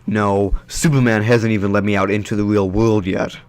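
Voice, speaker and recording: A man's voice: lowish voice, little pitch movement, moderate pace, darkish tone, natural voice. Young superhero, plainly spoken by a man. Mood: casual. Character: eighteen-year-old superhero boy with no memory, earnest. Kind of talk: amateur audio drama acting.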